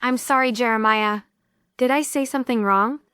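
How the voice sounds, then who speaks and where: Woman acting a bright-voiced, yearning romantic heroine. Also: on the high side, normal speed, smooth, dry recording, studio quality. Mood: anxious. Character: yearning, stubborn, emotional woman in love. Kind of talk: script table read, musical play.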